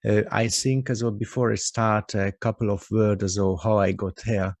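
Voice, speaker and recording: Gravelly low Hungarian man presenting. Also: flat delivery, a little mellow, no echo. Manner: halting, heavy on "uh" and "also" fillers. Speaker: guest expert presenter. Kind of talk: webinar presentation. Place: office with company logo behind him. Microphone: video call.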